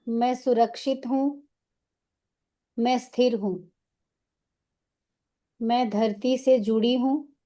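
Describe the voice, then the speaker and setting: An Indian woman leading a guided meditation as a teacher, giving soothing, unhurried instructions in short phrases with pauses between them. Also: on the high side, dark tone.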